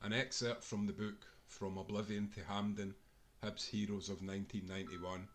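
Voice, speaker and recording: A man's voice: lowish voice, level tone, moderate pace, slight background noise. A Scottish man reading a scripted sports history book aloud, as an author narrating his own work.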